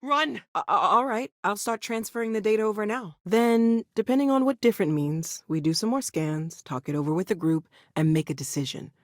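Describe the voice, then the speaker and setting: A woman's voice: mid-range voice, animated, a little fast, few pauses, no room sound, clean studio sound, lightly acted. A woman playing an earnest, brainy scientist in a video game, thoughtful and slightly hesitant, eager but anxious. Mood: urgent, alarmed.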